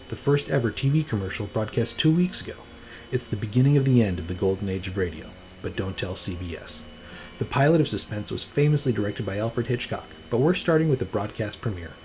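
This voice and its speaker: A man's voice: fairly low pitch, expressive, fairly quick, a little mellow, rough recording. A man hosting a podcast with scripted, playful narration in a wry, tongue-in-cheek manner. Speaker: podcast host. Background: music.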